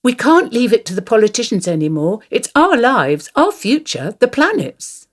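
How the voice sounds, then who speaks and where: A woman's voice: a little high, big pitch swings, normal speed, pitched above the performer's own voice. An older woman voicing an earnest, indignant young granddaughter in a radio play. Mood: urgent.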